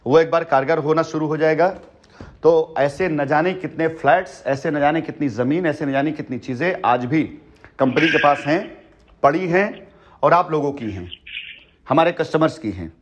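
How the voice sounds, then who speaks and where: A man's voice: on the high side, moderate pace, a little hiss or hum. A man talking on a phone call in long, persuasive stretches, earnest and emphatic, often repeating himself. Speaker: company head addressing a customer by phone. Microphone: telephone.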